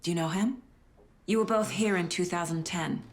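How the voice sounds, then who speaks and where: A woman's voice: on the low side, normal speed, lightly acted. An actress playing a composed, serious investigator and mother in a naturalistic video game drama. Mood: composed, probing. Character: composed professional female investigator and caring working mother.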